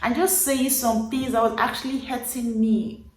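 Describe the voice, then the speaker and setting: A young woman in her twenties from Nigeria, speaking with a Nigerian accent, casually telling a personal story to camera in an animated, emphatic way and repeating words for effect. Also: a little high, normal speed. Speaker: vlogger. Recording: roomy echo.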